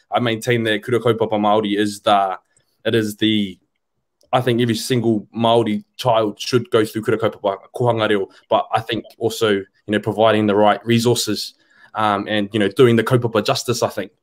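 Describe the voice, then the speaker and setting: A man's voice: fairly low pitch, little pitch movement, brisk. A young New Zealand man, a panel guest on a video call, talking earnestly and rambling a bit. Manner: earnest, rambling, many restarts. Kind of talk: casual discussion, mixing Māori and English. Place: home room, video call.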